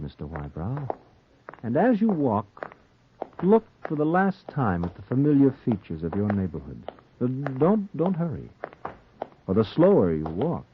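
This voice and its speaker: Sinister noir narrator: low, swooping, ominous delivery, taunting his victim on a tinny old radio recording. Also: noisy background. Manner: theatrical, ominous, insistent second-person address. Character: sinister, taunting male narrator addressing a doomed man. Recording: muffled, tinny 1940s radio recording, music behind.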